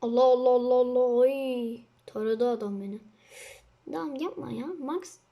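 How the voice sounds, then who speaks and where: A young girl with a very high voice hosts a gaming session. She talks casually and excitably, commenting on the play as it goes. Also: moderate pace. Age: child. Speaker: host of a gaming channel.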